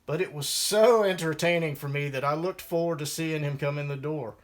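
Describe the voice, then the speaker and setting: Guy reading a chapter of his own music memoir, voice a bit on the high side, wry storyteller. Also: a little fast. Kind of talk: first-person memoir narration, read aloud.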